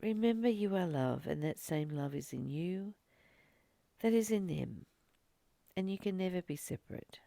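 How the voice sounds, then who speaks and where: A woman giving an informal spiritual talk as a teacher, earnest, phrasing things with deliberate, emphatic pauses. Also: lowish voice, animated, gravelly, dark tone, dry recording. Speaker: spiritual teacher leading a daily course study.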